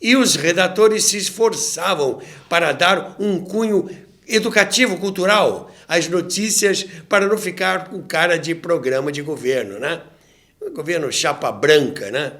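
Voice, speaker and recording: Slow, expressive Brazilian man narrating. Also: on the high side. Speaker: host and narrator of a radio history show. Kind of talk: scripted documentary narration with conversational asides.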